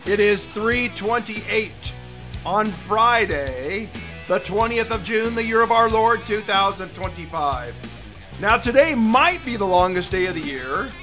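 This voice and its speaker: A middle-aged American man hosting a casual solo radio show, rambling and folksy, with a slightly hoarse voice. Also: high voice, expressive, unhurried, sharp tone, soft background music. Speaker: radio and podcast host.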